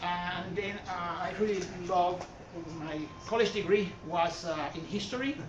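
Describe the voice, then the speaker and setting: A man's voice: high voice, unhurried, music in the background. A man from Puerto Rico with a Puerto Rican Spanish accent, giving a casual art history talk in a gallery, rambling and correcting himself with many fillers. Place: art gallery hall with an audience. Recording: far-off echoey room mic, dull and noisy.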